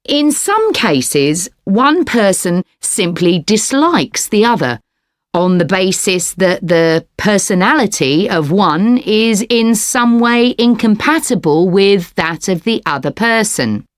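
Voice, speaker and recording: A composed business studies lecturer, speaking slowly with lively intonation. Her scripted academic lecture is formal and explanatory. The recording is very dry. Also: medium pitch, no room sound. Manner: formal, clearly structured explanation.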